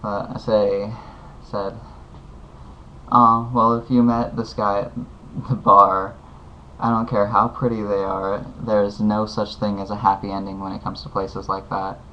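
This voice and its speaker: Guy, lowish and flat, rambling earnest advice vlog; muffled home-mic sound. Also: a little slow. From United States. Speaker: vlogger giving advice. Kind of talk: casual heartfelt advice monologue. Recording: dull lo-fi home video with faint hiss.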